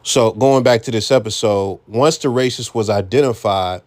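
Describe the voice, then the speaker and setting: Blunt, low-voiced American male commentator. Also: normal speed, a little mellow. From United States. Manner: blunt, profane, conversational. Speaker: commentary channel host. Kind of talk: reaction commentary.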